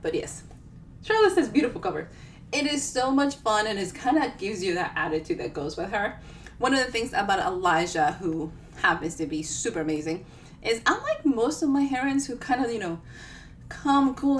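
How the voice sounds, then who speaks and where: A woman author hosting a casual live book reading, chatting to viewers in a playful, self-deprecating way. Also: mid-range voice. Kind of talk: casual livestream chat to viewers. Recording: distant mic with clear background noise.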